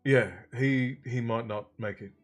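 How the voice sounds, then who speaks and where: A man's voice: medium pitch, steady tone, a little slow, faint music behind, natural voice. A man voicing a tired teenage schoolboy who is hesitant and unenthusiastic, in a storybook reading. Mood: weary, reluctant. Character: weary, reluctant sixteen-year-old schoolboy hero.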